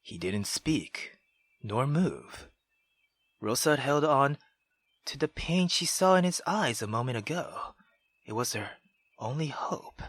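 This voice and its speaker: A man doing excitable, slangy let's play commentary and dramatic read-aloud narration of a visual novel. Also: medium pitch, slow, long pauses, dry recording. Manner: theatrical, slangy, exclamatory.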